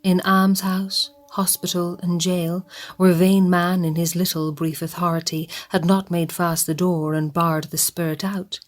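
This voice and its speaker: A woman narrates a radio play in a slow, even way, sometimes dropping to a hush. Her voice is fairly low. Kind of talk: scripted storytelling narration.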